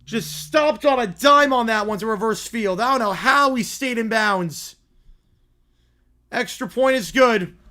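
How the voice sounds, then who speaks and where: A man with a worn, hoarse voice calling college football play-by-play live on a stream, talking to chat between plays. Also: extremely high pitch, unhurried. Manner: excited, rising commentary on big plays, quieter asides to chat.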